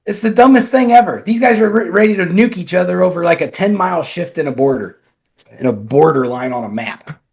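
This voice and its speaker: A man's voice: sits high, brisk, a little mellow. Blunt, emphatic man talking politics. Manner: emphatic, blunt opinions with frequent restarts. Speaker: guest commentator. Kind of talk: casual opinion chat.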